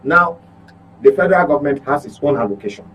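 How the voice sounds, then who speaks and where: A man's voice: fairly high pitch, expressive, quick pace, fairly bright tone, low-quality recording. A man with a Nigerian accent giving opinionated current affairs commentary as a journalist, speaking emphatically, repeating points and asking rhetorical questions.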